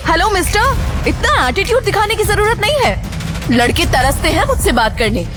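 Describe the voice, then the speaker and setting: A woman's voice: extremely high pitch, big pitch swings, normal speed. A spoiled rich girl. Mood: haughty. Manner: sharp, haughty. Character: rich arrogant spoiled young woman. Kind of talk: audio drama acting. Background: background music.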